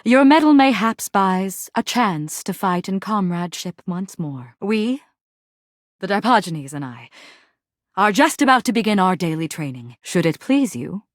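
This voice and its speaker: A proud warrior general from a video game, played by a woman, speaks with theatrical grandeur. The delivery is formal and melodramatic, full of archaic Shakespearean turns, in a medium-pitched voice. The sound is dry, with no room around it. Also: expressive, unhurried, no room sound, lightly acted.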